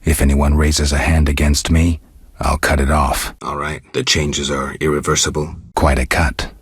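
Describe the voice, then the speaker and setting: World-weary male monster hunter for a fantasy game. Rock-bottom pitch, restrained terse delivery, close dry sound. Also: flat delivery, normal speed, dry recording, heavily acted. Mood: flat, inquisitive. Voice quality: gravelly, husky. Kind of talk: video game character dialogue.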